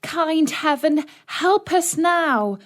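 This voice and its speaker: A woman's voice: sits high, expressive, very slow, fairly bright tone, voice pushed up, heavily acted. A woman voicing a tender, earnest little girl in a children's fairy tale. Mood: pleading despair. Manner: pleading, earnest exclamations.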